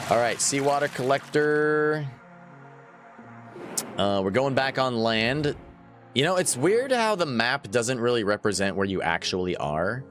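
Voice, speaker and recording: A man's voice: medium pitch, expressive, slow, long pauses, a bit bright, faint music behind. A man in his thirties chatting casually while livestreaming a game, laughing often and answering his viewers. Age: 30s. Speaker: gaming livestreamer. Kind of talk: casual live gameplay chat.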